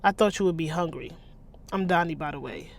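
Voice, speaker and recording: A woman's voice: sits low, brisk, gravelly. A woman reading the lines of a nervous, kindly young man from a thriller novel in a mild, hesitant way. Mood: shy.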